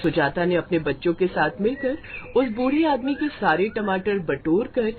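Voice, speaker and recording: Woman narrator, medium pitch, faint noise. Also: sing-song, moderate pace, phone-quality sound. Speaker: story narrator. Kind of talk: scripted moral story narration.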